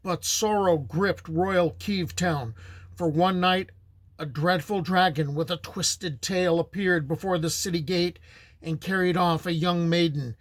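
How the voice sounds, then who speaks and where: Middle-aged american guy reading old folk tales, kinda high voice, super dry close mic, easygoing pace. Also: no room sound. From United States. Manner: plain, unhurried storytelling voice with small restarts. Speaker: storyteller and channel host. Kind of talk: folktale narration.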